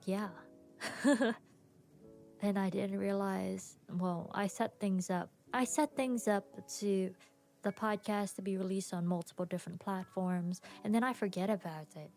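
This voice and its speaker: A young woman hosting her own podcast, tired, chatting casually with pauses and soft laughs. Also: medium pitch, steady tone. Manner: soft, rambling, frequent pauses and little laughs. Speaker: podcast host and streamer. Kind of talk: casual solo podcast chat. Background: soft background music.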